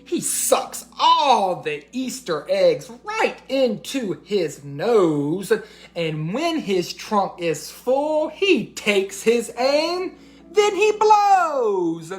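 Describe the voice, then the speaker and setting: A woman's voice: medium pitch, sing-song, drawn-out words. A woman hosting a children's read-aloud, narrating a storybook in a teacherly way and putting questions to listeners.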